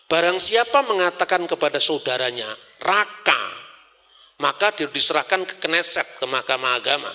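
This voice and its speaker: Man, Javanese accent, religious teacher, rhetorical questions, sharp bright tone. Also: fairly high pitch, normal speed. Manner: animated, rhetorical questions, mixes in Javanese phrases. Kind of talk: religious lecture.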